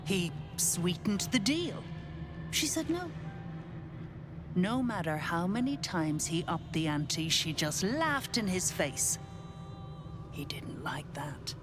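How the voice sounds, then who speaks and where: A woman's voice: on the low side, sing-song, slow pace, long pauses. An actress playing a sly, worldly female devil in a video game, speaking in a mocking, knowing, breezy way. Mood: scornful, storytelling. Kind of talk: video game character acting. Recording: game music behind. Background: music.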